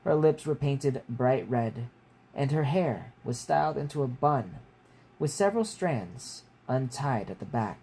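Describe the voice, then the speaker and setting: A man's voice: medium pitch, expressive, a little slow, mellow, low-quality recording. Male author reading a story aloud. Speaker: author reading own book. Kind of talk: story narration with casual asides. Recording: clear background noise.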